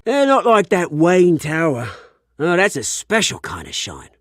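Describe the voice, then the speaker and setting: A man's voice: sits high, huge pitch swings, unhurried, a bit bright, dry recording, studio quality, exaggerated voice. An actor playing a cocky, self-made club owner in a video game, a man who is showy and sardonic. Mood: sardonic, wistful. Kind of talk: dramatic video game voice acting.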